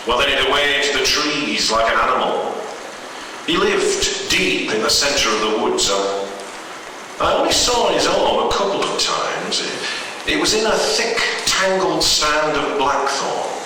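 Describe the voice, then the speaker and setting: A man reading a horror story in the first person, in a measured, halting delivery with pauses. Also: fairly high pitch, very animated, pushed and bright. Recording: very echoey, distant, noisy hall recording.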